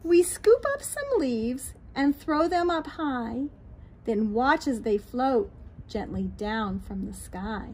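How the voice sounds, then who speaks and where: A middle-aged American woman reading a picture book aloud to young children in an expressive, sing-song way, outdoors on a patio. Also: fairly high pitch, slow, low-quality recording. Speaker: children's read-aloud host, teacher. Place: small backyard patio, outdoors. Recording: light outdoor background noise.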